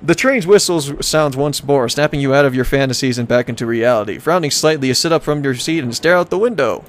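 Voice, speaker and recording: A man's voice: mid-range voice, brisk. A man narrates a visual novel in a casual let's play style. He slips between reading and playful, wry remarks, with music running behind his voice.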